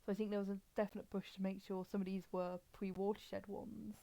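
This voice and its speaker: A woman's voice: medium pitch, flat delivery, brisk, gravelly, mellow. A woman hosting a live stream with casual, rambling, explanatory commentary.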